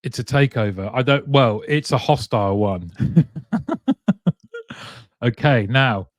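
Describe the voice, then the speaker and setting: A man's voice: medium pitch, slow, no echo, studio quality. A man from the UK hosting a casual livestream, reading viewer comments aloud and laughing often.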